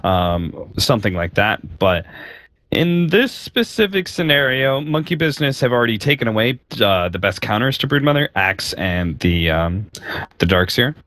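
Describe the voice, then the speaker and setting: A male co-caster breaks down a game draft in a studio. His pitch moves around a lot as he talks. He speaks earnestly and fills his thoughts with ums and likes. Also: big pitch swings, normal speed, fairly bright tone, dry recording. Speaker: esports co-caster. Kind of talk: casual draft analysis.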